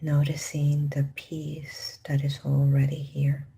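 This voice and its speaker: A woman leading a guided meditation in a calm, gentle, unhurried manner with long pauses. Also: deep voice, creaky, husky. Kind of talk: guided meditation, slow spoken instructions.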